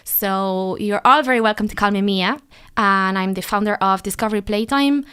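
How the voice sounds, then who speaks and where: A woman from Spain in her thirties hosting a parenting podcast, chatting casually and laughing now and then, often trailing off into a softer voice. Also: mid-range voice, normal speed, clear, resonant tone. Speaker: podcast host and business owner.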